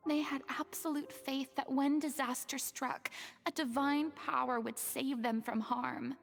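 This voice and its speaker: A remorseful young woman in a video game, soft and wistful, who often drops into a half-whisper. Her voice is high, with gravelly edges, and faint music plays beneath it. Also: steady tone, unhurried. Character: remorseful young woman, former goddess pretender.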